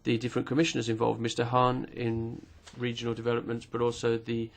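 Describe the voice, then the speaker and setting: A man simultaneously interpreting speeches at a parliamentary committee hearing, formal and measured, pausing with occasional fillers. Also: medium pitch, completely flat delivery, creaky.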